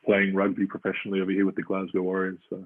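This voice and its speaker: A thirty-year-old Australian man, a rugby player, talking as an interview guest in a halting way with plenty of fillers. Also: sits low, completely flat delivery. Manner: halting, filler-heavy storytelling. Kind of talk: casual interview chat. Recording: tinny phone-like line.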